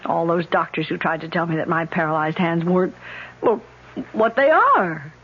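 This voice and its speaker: An actress in an old radio drama playing a proud, embittered, skeptical woman, guarded and quick to argue. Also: lowish voice, lively intonation, breathy edge. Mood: bitter, defiant. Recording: dull old radio recording with hiss.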